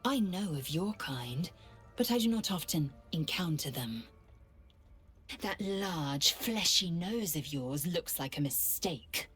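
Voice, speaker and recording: A deep, heavily rasping female voice for a haughty alien warrior in a fantasy game. She is clipped, commanding and disdainful. Music plays behind her. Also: expressive, slow pace, a bit bright, exaggerated voice. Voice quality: husky, raspy. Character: stern, haughty alien githyanki warrior woman. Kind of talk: fantasy video game acting.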